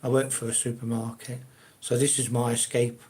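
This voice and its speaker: An older man with a Cockney-ish South London accent chats with his viewers on a live stream. He talks casually at a mid pitch, with a hesitant, rambling manner, many fillers and restarted sentences. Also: little pitch movement, moderate pace, a little mellow, slightly rough.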